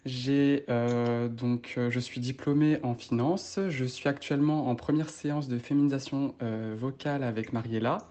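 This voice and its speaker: A woman in her twenties introducing herself in a halting, hesitant way. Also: very deep voice, mellow, slight background noise. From Portuguese family background. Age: 20s. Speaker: voice therapy client introducing herself. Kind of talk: self-introduction.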